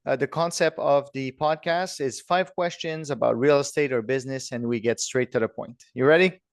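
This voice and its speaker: Upbeat male podcast host, mid pitch, dry studio sound. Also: normal speed, no room sound. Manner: upbeat, direct questioning. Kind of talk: podcast interview.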